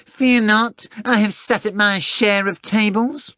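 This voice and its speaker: A man's voice: high voice, steady tone, slow, sharp tone, clean tone, no echo, pitched above the performer's own voice, full character voice. A man voicing a boastful, theatrical talking tomcat with a pompous, mock-dignified manner. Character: boastful talking male cat, sly and theatrical.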